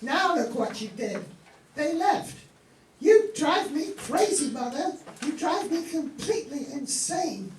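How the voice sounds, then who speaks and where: A woman's voice: high-pitched, very animated, slow, full character voice. A woman playing an exasperated young mother, embarrassed by her parents, in a live comic radio play. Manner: exasperated, pleading. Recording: distant echoey room, some noise.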